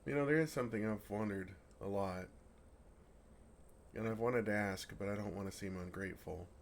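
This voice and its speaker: A man playing a gentle, affectionate, innocently oblivious dragon in an intimate, earnest ASMR roleplay. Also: fairly low pitch, normal speed, vocal fry, a little hiss or hum. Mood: puzzled affection. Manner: earnest, softly teasing, innocently puzzled.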